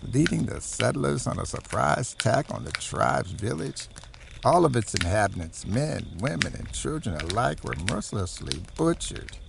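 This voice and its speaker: A male narrator tells a scripted horror history in a heavily creaky voice. His pitch moves around in a lively way, and there is clear noise in the recording. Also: moderate pace. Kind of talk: scripted documentary-style narration of a horror story.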